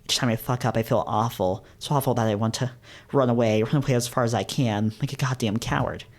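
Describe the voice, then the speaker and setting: An anxious young male fox from a visual novel, acted out by a man, pours out self-loathing in an agitated, melodramatic way. The voice is mid-pitched and overwrought, always putting himself down. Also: fairly even intonation, brisk, lightly acted. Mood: frantic outburst. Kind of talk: dramatic reading of a visual novel.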